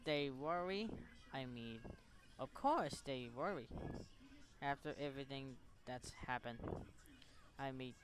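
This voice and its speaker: A man hosting a gaming video, reading game text aloud and commenting in short, halting reactions. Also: medium pitch, background noise.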